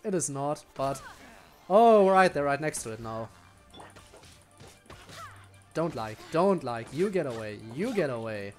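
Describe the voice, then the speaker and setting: A man's voice: fairly high pitch, sing-song, measured pace, long pauses, soft background music. A man streaming a video game with casual commentary and wry, muttered asides to himself. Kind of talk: casual live gameplay commentary.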